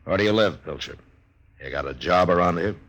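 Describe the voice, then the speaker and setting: An American actor playing a stern, weary, decent frontier marshal, terse and plainspoken, in a 1950s Western radio drama. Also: male voice, deep voice, lively intonation, brisk, a bit bright, muffled recording, exaggerated voice. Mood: stern, curt. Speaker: lead character and narrator.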